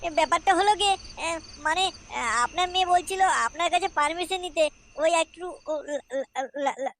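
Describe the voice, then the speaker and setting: A woman's voice: very high-pitched, a little fast, fairly bright tone. A woman voicing a crude, frustrated young Bengali son-in-law in a comedy cartoon, muttering and stammering. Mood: nervous stammering.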